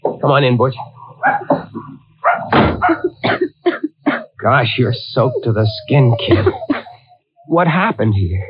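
Anxious young man, protective brother in a forties radio thriller; very animated delivery, muffled noisy vintage recording. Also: medium pitch, unhurried. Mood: concerned, soothing. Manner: urgent, exclamatory, slangy. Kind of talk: 1940s radio thriller acting.

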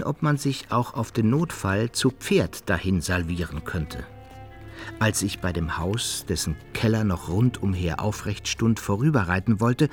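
A man narrating an old adventure tale in a radio play, with expressive, dramatic storytelling. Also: lowish voice. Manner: expressive storytelling, archaic wording.

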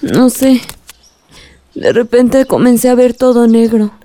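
An actress playing a polite, fragile young granddaughter in a radio drama. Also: female voice, on the high side, fairly even intonation, takes it slowly, warm tone. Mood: weak, reassuring.

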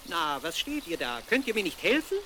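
A man's voice: high voice, very animated, fairly quick, noisy background. An actor playing an ill-tempered old male dwarf who scolds and insults impatiently in a 1970s children's fairy-tale radio play. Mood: grumpy, indignant.

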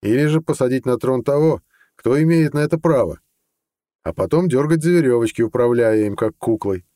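A man voicing a sly, deliberate judge with a lawyerly manner in an audiobook. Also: medium pitch, expressive, dark tone, no room sound, studio quality, voice pushed up, close to an everyday voice. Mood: measured, persuasive. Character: sly, measured older judge, a scheming conspirator. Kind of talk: audiobook character dialogue.

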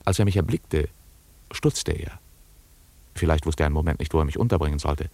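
An actor playing an investigator who recalls his case in measured, literary first-person radio drama narration. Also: extremely low pitch, dark tone. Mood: reflective.

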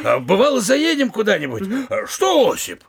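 High, creaky sly peasant manservant. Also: male voice, wildly swooping pitch, crowd noise, heavily acted. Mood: crafty, folksy. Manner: folksy, obsequious, unhurried and cunning. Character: sly, humble peasant manservant, male, folksy and servile. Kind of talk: theatrical audio play of classic Russian comedy.

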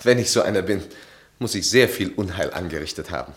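An anguished, self-absorbed husband in a radio drama. His voice is fairly low and moves expressively up and down, formal and earnest, with wounded pride. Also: expressive, brisk. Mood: despondent. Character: troubled, self-absorbed married man, anguished, proud, easily affronted. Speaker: actor. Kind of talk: German radio play acting.

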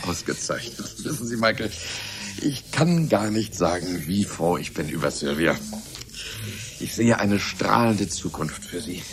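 A smug, chatty scientist of stubborn optimism in a radio drama. His voice is lowish and gravelly, with a lively melody. He muses and rambles over busy background noise of voices. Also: a little slow, a crowd in the background. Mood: pleased. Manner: musing, rambling, clubby. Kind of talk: German radio drama acting.

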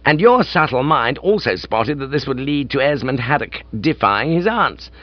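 Man doing an excitable upper-class English twit, swooping pitch. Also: moderate pace, dry recording, muffled recording. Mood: breezy, pleased. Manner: breezy, self-satisfied, exclamatory. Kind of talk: comic audiobook character.

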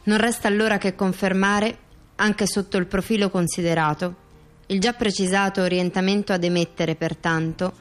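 An actress playing an earnest female intellectual in radio theatre, speaking in a deliberate, declamatory way. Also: mid-range voice, fairly even intonation, lightly acted. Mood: solemn. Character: earnest female intellectual recounting court rulings. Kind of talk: theatrical address and formal reading.

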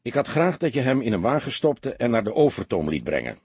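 A man voicing a seasoned, greying police detective in an audiobook, calm, sober and matter-of-fact. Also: mid-range voice, brisk, breathy edge, dry recording. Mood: businesslike.

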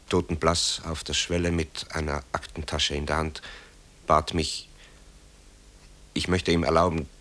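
An actor playing a tormented grown son, a composer haunted by wartime guilt, in a grave, halting radio drama delivery. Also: male voice, low voice, fairly bright tone. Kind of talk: German radio drama acting.

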